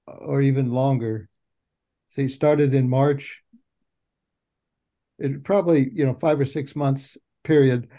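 A man adds information to a group discussion in a mellow, warm voice. His pace is uneven, rushing at times and slowing at others. Fillers break up his explanation. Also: mid-range voice. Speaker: group participant.

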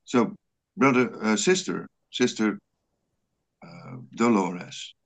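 Slow, halting low-voiced Frisian man. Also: vocal fry. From Netherlands. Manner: halting, many repeated words and restarts. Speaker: scripture study leader. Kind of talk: online religious study group discussion. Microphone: video call.

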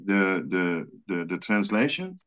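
A man whose first language is Frisian leads an online scripture study in English. His voice sits low and he talks very slowly, often stumbling over repeated words. The sound comes through a video call. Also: lively intonation, fairly bright tone. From Netherlands. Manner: halting, many repeated words and restarts. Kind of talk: online religious study group discussion.

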